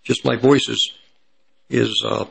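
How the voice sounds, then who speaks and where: A man with a slightly raspy voice from hay fever, giving prophecy commentary as he reads from an article and stops to correct himself. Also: mid-range voice, steady tone, fairly bright tone. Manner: pauses to correct himself mid-sentence.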